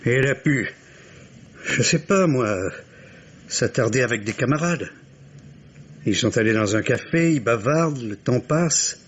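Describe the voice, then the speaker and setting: An actor playing a middle-aged father and husband in a French radio mystery, solicitous, measured and quietly anxious. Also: mid-range voice, expressive, natural voice. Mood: anxiously reassuring. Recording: dull, noisy vintage radio recording.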